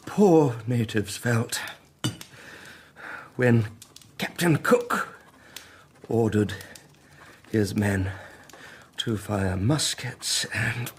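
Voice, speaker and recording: An actor playing a shaken, self-important English husband and writer in a radio drama, speaking in urgent, stammering, broken phrases with an English accent. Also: male voice, medium pitch, very slow, long pauses, a little hiss or hum. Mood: brooding, bitter.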